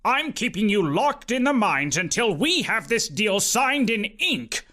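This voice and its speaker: A man voicing a stern, pompous, greedy rich uncle who speaks slowly and grandly in a storybook reading. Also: high voice, very animated, pushed and bright, voice pushed up, full character voice. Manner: pompous, slow, decreeing.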